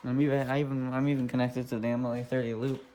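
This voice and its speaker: A man's voice: mid-range voice, flat delivery, fast, mellow, slight background noise. A man giving casual, unscripted commentary as a hobbyist, thinking aloud in a puzzled mutter. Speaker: radio hobbyist vlogger. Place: small indoor room at a workbench.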